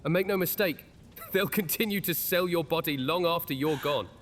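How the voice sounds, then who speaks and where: A man's voice: fairly high pitch, moderate pace, a bit bright, a little hiss or hum, lightly acted. A man playing a sly, smugly charming male witch in a horror audio drama, taunting and theatrical. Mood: menacing, mocking.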